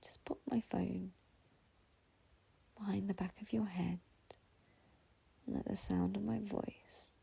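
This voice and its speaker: A woman leads a guided meditation in a soft, slow whisper. Her voice is very husky and gravelly, with a mellow, dark tone, and she takes her time over each phrase. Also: medium pitch, steady tone.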